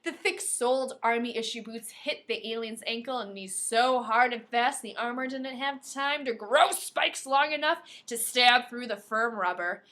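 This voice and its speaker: A woman with a fairly high voice hosts a podcast, reading fiction aloud in an expressive audiobook style. She is playful and slips easily into casual joking asides. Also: moderate pace, hardly any pauses.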